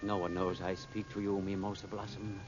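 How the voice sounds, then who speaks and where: Deep-voiced ardent desert suitor played by a man. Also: level tone, fairly quick, a little mellow, a little hiss or hum, close to an everyday voice. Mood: impassioned. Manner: formal, flowery, intense. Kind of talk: 1940s radio acting, romantic melodrama. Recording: muffled vintage radio recording with music. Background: music.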